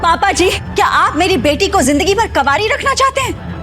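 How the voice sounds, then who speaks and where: A woman's voice: extremely high pitch, big pitch swings, normal speed, music in the background. A woman playing a proud, exasperated, wealthy middle-aged mother in an audio drama, indignant and dramatic. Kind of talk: Hindi audio drama acting.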